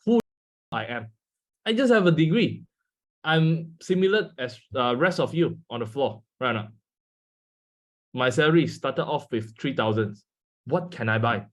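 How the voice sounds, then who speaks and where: A Malaysian English-accented man in his twenties, a native Mandarin speaker, gives a guest talk over a video call. He pauses often on fillers and addresses his listeners directly. His voice sits at a middling pitch. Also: expressive. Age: 20s. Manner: hesitant, many fillers, speaks to an audience. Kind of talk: online seminar talk.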